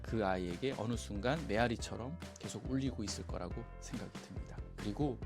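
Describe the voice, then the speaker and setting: A man's voice: fairly low pitch, fairly quick. A man hosting a parenting education channel, giving informal, explanatory recommendations and advice directly to parents. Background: background music.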